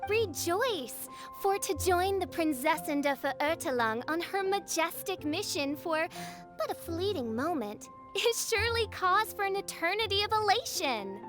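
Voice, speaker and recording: A woman's voice: extremely high pitch, animated, measured pace, music in the background. A woman voicing a grandiose teenage self-styled princess of darkness in a video game, pompous and theatrical, with archaic speech. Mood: haughtily magnanimous. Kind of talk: video game cutscene acting.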